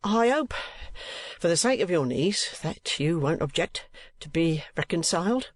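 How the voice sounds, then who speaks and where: A man's voice: a little high, expressive, unhurried, no echo, voice pushed up. A man reading aloud, voicing a meek, apologetic husband who speaks humbly and tries to placate. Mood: humble. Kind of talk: audiobook character voice.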